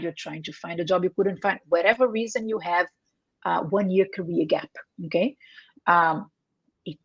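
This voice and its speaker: Husky-voiced woman, a native Portuguese speaker. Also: on the low side, dry recording, highs cut off. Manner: earnest, emphatic, with tag questions and self-corrections. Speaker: career coach. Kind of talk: interview guest giving advice.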